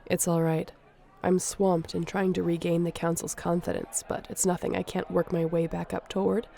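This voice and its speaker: A woman playing a wry, businesslike boss of smugglers in an audio roleplay, speaking dryly with a dark, creaky voice. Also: on the low side, flat delivery, moderate pace. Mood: amused, businesslike.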